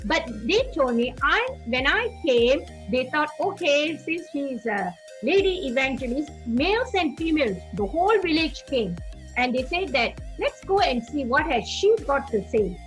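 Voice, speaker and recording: An earnest woman evangelist from Singapore speaks in a high, very lively voice, repeating key phrases to press her point home. Soft music plays faintly underneath. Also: moderate pace. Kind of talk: teaching testimony.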